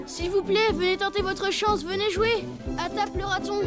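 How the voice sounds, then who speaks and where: An actress voicing an eager, anxious young child in a children's cartoon, with an earnest, childlike delivery. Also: female voice, very high-pitched, fairly even intonation, normal speed, over loud music. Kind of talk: French children's cartoon voice acting.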